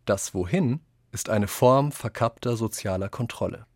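A man with a somewhat low voice reads quoted texts in a radio essay. His phrasing is deliberate and declamatory, and the studio sound is dry, without room reflections. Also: no echo. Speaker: reader of quotations in a radio essay. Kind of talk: scripted literary reading.

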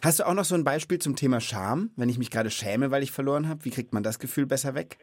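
A man's voice: medium pitch, quick pace, dry recording. A man hosting a children's podcast in a casual, playful and informal chatting style. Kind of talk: casual, scripted-feeling podcast chat.

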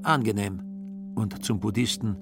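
A man's voice: lowish voice, a little slow, vocal fry, music in the background. A man reading scripted literary narration as the narrator of a radio drama.

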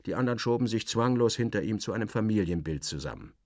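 Sardonic journalist, animated and gravelly. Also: male voice, fairly low pitch, quick pace, no echo, studio quality. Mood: observant, amused. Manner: wry, theatrical, clipped phrasing. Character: sardonic, self-assured male journalist narrating his travels. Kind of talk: literary first-person narration, dramatic audio reading.

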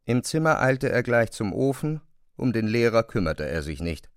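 A man narrating a literary classic as scripted audio drama, in a measured, precise manner. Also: medium pitch, big pitch swings, clean tone, no room sound, studio quality.

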